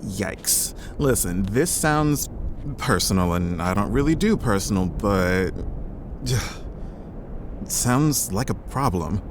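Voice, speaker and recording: A blunt scientist in an audio drama, his voice a little lower than most men's. He talks in a dry, matter-of-fact way that still shows he cares. Faint noise hums under the line. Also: lively intonation, slow pace. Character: blunt middle-aged male lab doctor, gruff but caring. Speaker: scientist character.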